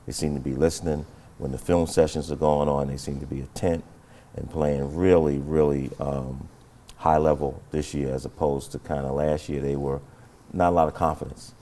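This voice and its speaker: A man hosting a sports show who talks in a measured, observing way about the team. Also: extremely low pitch, level tone, dark tone. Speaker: show host. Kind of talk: interview and show segue.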